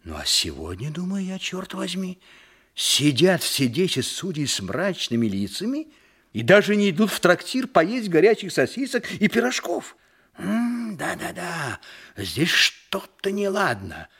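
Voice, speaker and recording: A man's voice: high-pitched, wildly swooping pitch, measured pace, fairly bright tone, husky, heavily acted. An actor playing a flustered, folksy city judge who grumbles through his story in a theatrical audio drama monologue. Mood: puzzled, uneasy. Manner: chatty, grumbling, exclamatory storytelling.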